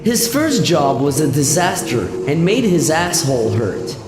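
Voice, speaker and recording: A scripted radio play narrator with an animated delivery. The voice rings with echo and long reverb, and music plays clearly behind it. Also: medium pitch, a little slow.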